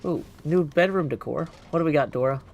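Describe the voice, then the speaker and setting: A man's voice: fairly high pitch, a little fast, gravelly, a little mellow, a little hiss or hum. A man doing casual gaming commentary as a live streamer, thinking aloud playfully and reading chat.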